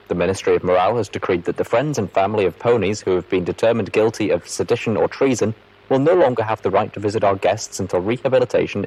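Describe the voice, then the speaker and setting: A man's voice: low voice, darkish tone, husky, rough recording. A man playing a resigned, chatty pony clerk reading out a log entry, dry and mildly grumbling.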